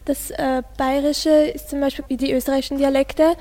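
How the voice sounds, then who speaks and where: A woman's voice: high voice, flat delivery, a little fast, warm tone, big-room sound. A teenage girl, hesitantly asking questions on a school radio show. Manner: hesitant, with fillers. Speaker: student interviewer.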